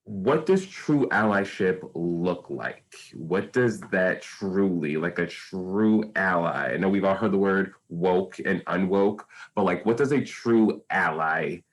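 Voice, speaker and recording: Deep-voiced male panel moderator. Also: rough recording. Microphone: video call.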